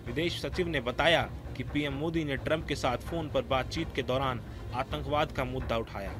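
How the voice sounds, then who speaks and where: A man presenting a scripted news report in a formal, measured manner. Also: mid-range voice.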